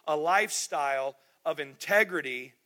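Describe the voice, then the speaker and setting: A man's voice: a little high, normal speed, bright, forceful tone. A middle-aged man preaching a sermon in a church, emphatic and repetitive, with rhetorical questions. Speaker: pastor.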